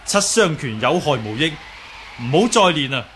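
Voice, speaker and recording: A man acting a calm young martial artist who explains healing humbly and politely in Cantonese radio drama. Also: fairly high pitch, normal speed, a little hiss or hum. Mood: politely firm.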